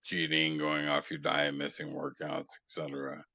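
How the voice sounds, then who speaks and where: Deep-voiced enthusiastic male interviewer. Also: monotone, normal speed, vocal fry, sharp tone, no echo. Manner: enthusiastic, blunt and profane. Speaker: interviewer and channel host. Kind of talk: casual interview hosting.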